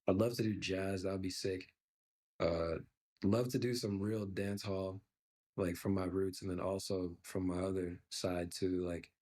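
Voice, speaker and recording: Low-voiced relaxed young man musician. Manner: reflective, easygoing, many fillers. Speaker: musician guest. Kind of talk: podcast interview.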